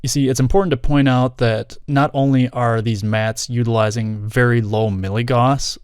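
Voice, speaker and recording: A middle-aged man narrating a scripted explainer in measured, formal wording with dry, wry asides. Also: medium pitch, steady tone, a little mellow, clear, resonant tone. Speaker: video creator and narrator.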